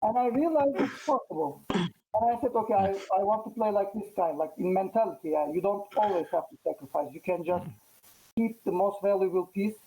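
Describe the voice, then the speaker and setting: A man from Turkey, a Turkish native speaker, chatting casually as a guest after a chess match, halting and full of fillers. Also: sits high, animated, mellow, slight background noise, poor audio. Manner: halting, many fillers and restarts. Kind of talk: casual post-match interview.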